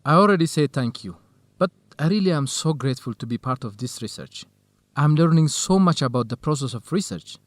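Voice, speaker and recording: A man's voice: on the high side, lively intonation, fairly quick, dry recording, lightly acted. An actor playing an earnest, grateful young Ethiopian male research assistant in an educational radio drama.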